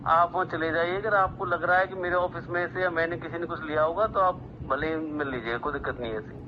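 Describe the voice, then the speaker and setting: Casting: man, fairly high voice, courteous and apologetic, muffled phone line. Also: steady tone, fast. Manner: polite, apologetic, repetitive protesting. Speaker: prank call recipient, office worker. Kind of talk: casual phone conversation. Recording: dull, noisy phone line.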